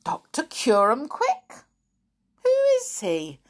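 A woman's voice: lowish voice, animated, moderate pace, gravelly, breathy edge, heavily acted. A woman voicing a sly, mischievous male squirrel shopkeeper who chuckles and teases. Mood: innocent. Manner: chuckling, teasing.

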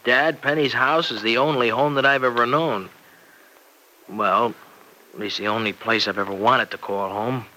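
An actor playing an earnest, excitable young husband and devoted son-in-law in an old radio serial. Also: male voice, mid-range voice, normal speed, a little hiss or hum, lightly acted, poor audio. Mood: chatty, earnest. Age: young adult. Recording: vintage radio recording.

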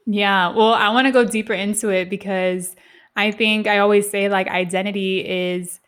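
A woman's voice: mid-range voice, fairly even intonation, moderate pace, fairly bright tone, smooth. A woman hosting a podcast, interviewing in a casual, conversational way with slangy, affirming phrasing. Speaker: podcast host.

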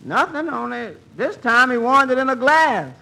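An actor playing a naive, earnest male waiter in 1940s radio comedy, delivering lines deadpan. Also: very high-pitched, normal speed, vocal fry, exaggerated voice. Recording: very noisy vintage radio recording.

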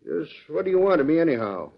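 A blunt frontier telegrapher. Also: male voice, fairly high pitch, big pitch swings, fast, gravelly, full character voice. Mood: wary. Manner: blunt, folksy, plainspoken. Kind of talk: 1940s radio acting. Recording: dull old radio recording.

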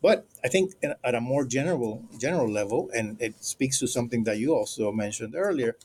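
Halting academic man with many fillers. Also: medium pitch, lively intonation, a little mellow, slight background noise. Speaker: guest scholar, co-editor. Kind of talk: academic interview answer.

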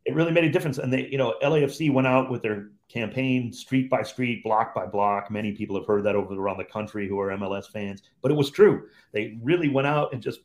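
A man's voice: medium pitch, fast talker, few pauses. A man in his fifties from Cleveland, a radio sports commentator, telling his career story as a podcast guest in an easygoing, reflective way. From Cleveland, Ohio, USA. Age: 50s. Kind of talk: relaxed storytelling in conversation.

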